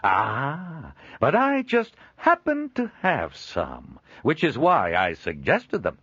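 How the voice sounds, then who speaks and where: Man voicing a hearty middle-aged trader, jolly, high and loud, very lively swings, dull dry sound. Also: takes it slowly, slightly rough, no echo, heavily acted. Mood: jovial. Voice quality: hearty, booming, laughs often. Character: jovial middle-aged male traveling trader.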